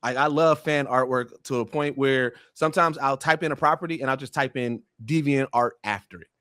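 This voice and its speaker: A man's voice: medium pitch, brisk, fairly bright tone, dry recording. Emphatic man, close dry podcast sound. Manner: emphatic, colloquial, explanatory. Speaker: podcast panelist. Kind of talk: casual pop-culture discussion.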